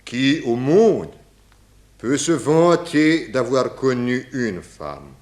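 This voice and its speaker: A man's voice: mid-range voice, expressive, a little mellow. An actor playing an eccentric, philosophical Russian émigré with a Russian accent, grandiloquent and cryptic, dropping his articles in a 1960s French radio crime drama. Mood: riddling, amused. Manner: slow, grandiloquent, broken grammar with dropped articles.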